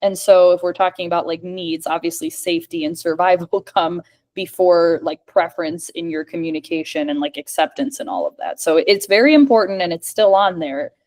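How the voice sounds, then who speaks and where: A woman's voice: on the low side, animated, fairly quick, a little mellow. A woman who is a speech-language pathologist and communication coach, answering questions in a casual chat over a video call, with a lot of "like" in her speech. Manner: chatty, fillers of "like".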